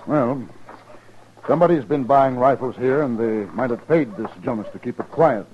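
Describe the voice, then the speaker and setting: An actor playing a brusque, formal, suspicious army major in a 1950s radio western. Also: male voice, medium pitch, normal speed, slight background noise, close to an everyday voice. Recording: muffled vintage radio recording.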